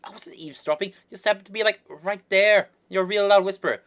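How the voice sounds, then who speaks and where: Casting: man as chatty comic busybody, pitched up, sheepish, flippant, a little defensive. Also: fairly even intonation, fairly quick, fairly bright tone, clear, resonant tone, dry recording.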